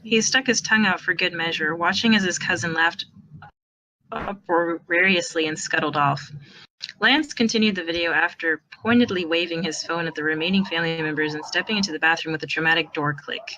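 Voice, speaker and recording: A woman narrating a fan fiction story in an expressive reading style. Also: fairly low pitch, a little fast, a little hiss or hum, poor audio.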